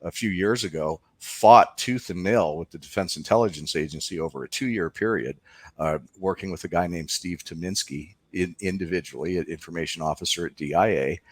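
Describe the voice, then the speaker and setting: A man's voice: sits low, fairly quick, breathy edge, no room sound. A man speaking as a filmmaker guest in a conversational interview, explaining things emphatically.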